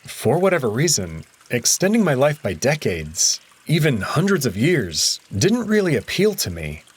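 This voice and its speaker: A man narrating a visual novel in the first person, easy and conversational. His voice is a little husky, with a mid-range pitch and lively rises and falls. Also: moderate pace.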